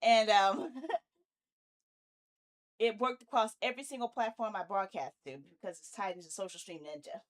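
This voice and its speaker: A woman chatting casually on a live game stream, playful and animated, often muttering to herself. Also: mid-range voice, normal speed, long pauses.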